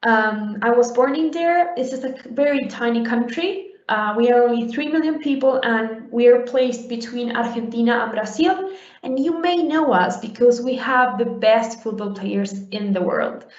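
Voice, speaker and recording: A woman's voice: fairly high pitch, normal speed. A young adult woman from Uruguay giving a conversational conference talk, with many fillers and restarts. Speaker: conference speaker. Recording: roomy, dull lo-fi sound.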